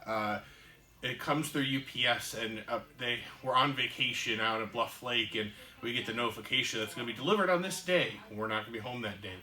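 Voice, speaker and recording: A man co-hosts a casual podcast and tells a long, rambling story, full of "um"s and "uh"s. His voice is bright and clear, at a middling pitch. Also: fairly quick. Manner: rambling storyteller with many fillers. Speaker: podcast co-host. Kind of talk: casual chat.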